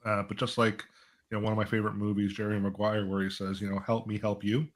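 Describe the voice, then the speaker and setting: Male financial advisor, lower register, conversational and explanatory, panel discussion. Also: little pitch movement, fast. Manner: explanatory, uses acted-out client dialogue and rhetorical check-ins. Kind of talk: conversational live panel talk.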